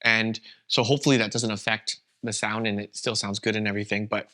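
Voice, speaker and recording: A man from Canada, whose first language was Vietnamese, co-hosting a podcast in a relaxed, rambling chat. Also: lowish voice, fairly quick. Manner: relaxed, rambling, with many fillers. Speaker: podcast co-host. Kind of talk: casual chat.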